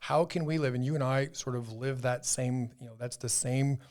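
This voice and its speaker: A man's voice: mid-range voice, fairly even intonation, moderate pace, a little mellow. A man in his fifties co-hosting a health podcast as a doctor, chatting casually and explaining in a rambling, hedging way. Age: 50s. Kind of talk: casual conversational health discussion.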